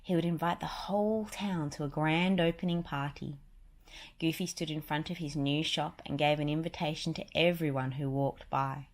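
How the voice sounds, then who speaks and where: A woman's voice: low-pitched, fairly even intonation, normal speed. A woman narrating a children's picture book, reading it aloud as a story.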